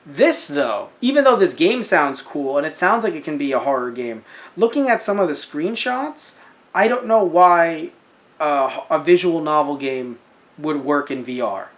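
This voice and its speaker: A young man co-hosting a casual podcast, animated and jokey, slipping into silly voices. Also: fairly high pitch, a little slow. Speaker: podcast co-host. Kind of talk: casual chat.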